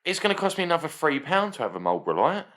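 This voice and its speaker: A man with a booming voice, co-hosting a podcast with joking, teasing banter and casual swearing. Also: very animated, rapid-fire, a bit bright. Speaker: podcast co-host.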